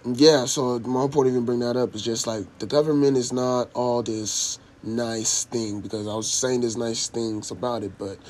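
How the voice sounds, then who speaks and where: A young man from Africa who first spoke an African language hosts a solo podcast. His voice sits at a medium pitch. He talks casually and loosely, wandering from thought to thought with heavy slang and swearing. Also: steady tone, moderate pace, few pauses, darkish tone. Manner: profane, slangy, rambling. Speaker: podcast host.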